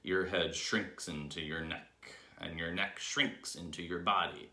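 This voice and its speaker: A man voicing a scheming, gleefully cruel old storybook husband who taunts with emphatic, drawn-out relish. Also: sits low, fairly even intonation, sharp tone, reverberant, exaggerated voice. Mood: mock solemn.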